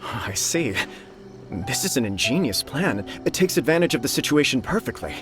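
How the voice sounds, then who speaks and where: A man's voice: medium pitch, big pitch swings, normal speed, music in the background, close to an everyday voice. An actor playing an earnest young male military commander in a game. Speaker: game character. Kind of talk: game voice acting.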